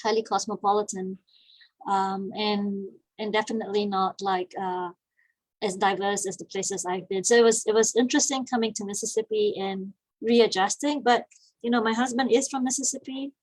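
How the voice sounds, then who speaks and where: A woman's voice: medium pitch, steady tone, normal speed, no echo, poor audio. A woman in her forties from Malaysia, a guest talking casually in an interview, chatty and laughing easily. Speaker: guest, business founder.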